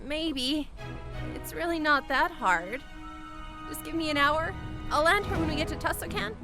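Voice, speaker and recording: A woman's voice: sits high, normal speed. A woman playing a spirited young airship pilot and tinkerer in a fantasy audio drama, with music behind her. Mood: hesitant, reassuring.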